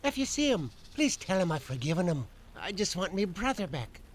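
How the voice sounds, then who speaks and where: A man voicing a pirate shopkeeper in a video game, with a creaky voice and salty pirate dialect. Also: high voice, sing-song, fairly quick, background noise. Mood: wistful, remorseful. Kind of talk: cartoonish game character acting.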